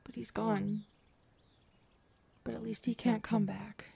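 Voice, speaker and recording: Woman acting as big alien predator woman, very breathy, mellow, tender, halting, audio roleplay. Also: mid-range voice, level tone, full character voice. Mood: earnest, wounded. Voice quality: soft, breathy, often dropping to a murmur. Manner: hesitant, intimate, halting.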